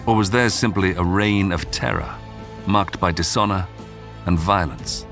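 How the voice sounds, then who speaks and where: Deep-voiced male documentary narrator over music. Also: unhurried, a bit bright. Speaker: documentary podcast narrator and host. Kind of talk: scripted narration. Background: background music.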